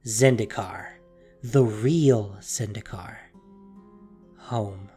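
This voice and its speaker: A narrator reading a dramatic audio story theatrically, with deliberate pauses and hushed emphasis, in a scripted style. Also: medium pitch. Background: music.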